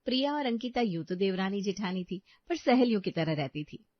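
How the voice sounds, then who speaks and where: A woman narrates a scripted story as its storyteller, at a medium pitch. The sound is close and very dry, with a slightly dull, lo-fi finish. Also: expressive, brisk, no room sound.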